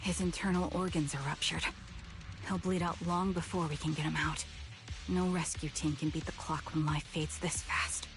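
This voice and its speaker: An actress voicing a calm, solemn young woman in a video game, speaking tersely. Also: sits low, normal speed, music in the background. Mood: grave. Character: calm young woman with troubled, useful eyes. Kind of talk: game voice acting.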